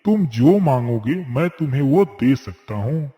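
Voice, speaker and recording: A man's voice: medium pitch, lively intonation, a little slow, mellow, dull audio, faint music behind, natural voice. A man voicing a kindly, wise magical tree in a cartoon moral story, speaking in a solemn, grand manner. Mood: solemn, benevolent. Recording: long cavernous reverb.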